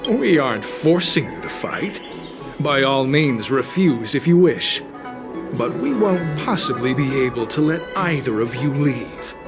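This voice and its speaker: An actor playing a calm, coolly sinister cult recruiter in a video game, speaking formally with polite menace. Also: male voice, medium pitch, unhurried, music in the background, close to an everyday voice. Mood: coolly menacing. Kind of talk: scripted video game cutscene acting.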